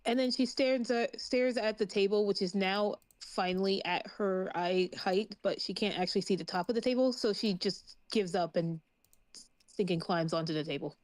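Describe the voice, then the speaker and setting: A woman's voice: medium pitch, moderate pace, dry recording. A woman playing a tabletop game, casually describing what her character does. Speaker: player.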